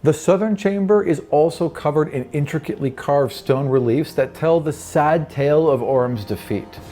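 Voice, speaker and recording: A man's voice: fairly high pitch, moderate pace, mellow. A man working as game master and podcast host, narrating a fantasy tabletop game in a conversational way. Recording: background music under the voice. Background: music.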